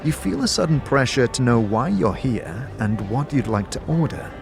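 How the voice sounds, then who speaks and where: A man narrating a fantasy tale in the second person as game master, in an expressive storytelling manner. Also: medium pitch, normal speed, creaky. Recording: music under the voice.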